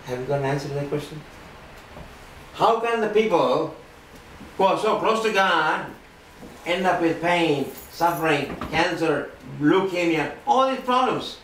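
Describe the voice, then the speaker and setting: An older man giving an earnest testimony sermon with a loud voice, swinging between hushed and forceful delivery. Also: on the high side, a little hiss or hum. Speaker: lay preacher giving testimony. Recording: roomy and distant with faint ambience.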